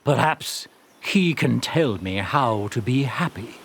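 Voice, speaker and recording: Man acting a melancholy storybook king, stately, emphatic, very slow, swooping intonation, wistful. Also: medium pitch, lively intonation, gravelly, slight background noise, voice pushed down. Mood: curious. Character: grand, melancholy king envying a humble miller. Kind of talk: storybook character voice.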